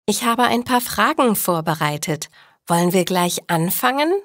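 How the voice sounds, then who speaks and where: A woman's voice: medium pitch, animated, moderate pace, fairly bright tone, dry recording, studio quality, natural voice. A woman narrator voicing an eager schoolgirl niece conducting an interview in storybook dialogue for language learners.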